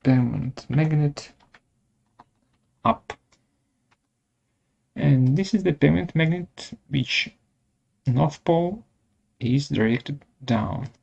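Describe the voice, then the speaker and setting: A man presenting a step-by-step software tutorial in short, halting instructional phrases, with a gravelly voice. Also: a little high, lo-fi sound.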